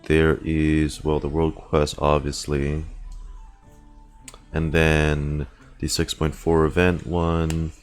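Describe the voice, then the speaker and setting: Male game streamer, very low voice, slow delivery, casual rambling think-aloud chat. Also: completely flat delivery, soft background music. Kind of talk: casual livestream chat while gaming.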